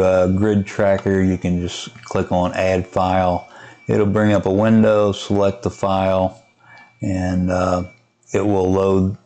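A man giving a casual, unscripted software tutorial, hesitant and thinking aloud as he goes. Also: low-pitched. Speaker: amateur radio hobbyist giving a software tutorial. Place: indoors at a computer, voice-over of screen recording.

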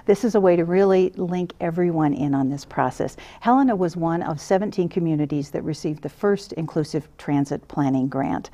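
Woman with a low, mellow voice. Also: brisk, few pauses. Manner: earnest, frequent restarts and fillers. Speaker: interview guest, council director. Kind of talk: studio interview. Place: small TV studio set, seated. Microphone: lapel mic.

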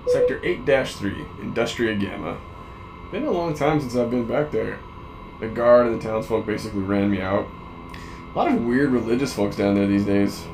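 A man's voice: on the low side, moderate pace, background noise, lightly acted. A man playing a curious, nervy young drifter in a sci-fi audio drama, talking casually.

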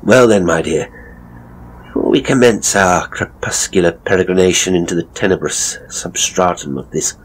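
A man's voice: deep voice, slightly rough, full character voice, poor audio. A man playing a hapless, seedy ghost tour guide in an audio horror story, with a British accent and a pompous, florid, leering manner. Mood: pompous, ingratiating.

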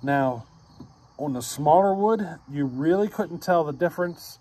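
A man's voice: on the high side, lively intonation, a little slow, mellow. A man in his forties hosting a chainsaw channel, explaining his results in a chatty way straight to a phone camera outdoors, often asking "you know what I mean?". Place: outdoors by trees, at a picnic table. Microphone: phone camera close up at arm's length.

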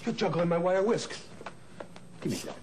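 A man's voice: high voice, wildly swooping pitch, moderate pace, background noise. A man playing a cook in a kitchen sketch, bantering and teasing with tongue-twisters. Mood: playful. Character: Paul, a playful cook in a comedy kitchen sketch.

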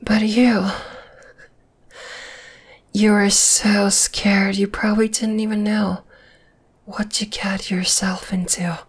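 A woman playing a dominating, flesh-eating spider monster in an ASMR roleplay, whispering in a breathy, teasing, menacing way. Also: mid-range voice, little pitch movement, takes it slowly, pauses often. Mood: intrigued, mocking. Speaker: voice actor.

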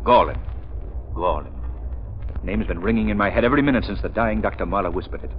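An actor playing an earnest, determined crime-fighting gentleman in intense, dramatic 1930s radio style. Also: fairly low pitch, fast talker, close to an everyday voice. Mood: fervent. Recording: muffled, noisy 1930s radio recording.

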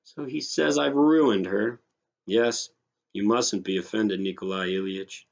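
A man's voice: lowish voice, measured pace, vocal fry, dull audio. A man voicing an innocent, anxious eight-year-old boy who pleads earnestly, in a storybook reading. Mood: naive, anxious.

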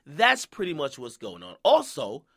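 A man's voice: a little high, wildly swooping pitch, normal speed, vocal fry, a bit bright, breathy edge. A man from Jamaica hosting casual commentary, who keeps checking in with "okay?" and "right?" and acts out quoted voices. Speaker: commentary host.

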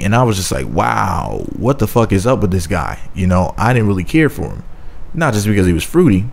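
A man's voice: on the low side, darkish tone. A man giving casual, sarcastic celebrity gossip commentary in a mocking, profane rant. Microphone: voice-over.

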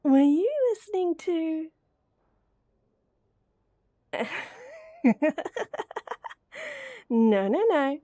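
A woman acting as a young female best friend in a roleplay audio, with a high, mellow voice. She is playful, teasing and coaxing, and takes her time very slowly in a close, dry recording. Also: big pitch swings, no room sound, heavily acted. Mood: amused, teasing. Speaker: voice actor. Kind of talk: acted roleplay audio addressed to the listener.

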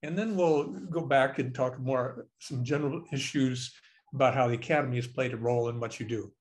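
An older man leads an online panel from a study at home. He talks in a loose, easygoing way, with small laughs between his remarks. His pitch sits in the middle range. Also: steady tone, a little fast. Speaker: panel moderator. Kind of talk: webinar moderating. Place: home study, on a video call. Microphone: video call.

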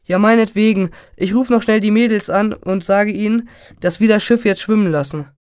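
A performer playing a confident, boastful, excitable boy in a children's radio play. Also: medium pitch, normal speed, clear, resonant tone, dry recording, studio quality, natural voice. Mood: dismissive. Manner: cocky, dismissive, eager.

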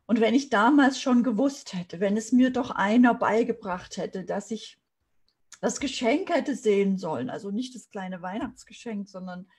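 A woman's voice: medium pitch, expressive, moderate pace, darkish tone, slightly rough. A woman in her thirties from Saxony, a business coach telling a personal story in an earnest motivational talk to camera on a video call. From Saxony, Germany. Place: small room indoors.

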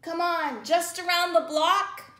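A woman voicing a pleading, sulky, excitable cartoon pigeon in a children's picture-book read-aloud, wheedling and dramatic. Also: very high voice, slow pace, pitched above the performer's own voice, heavily acted. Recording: roomy echo.

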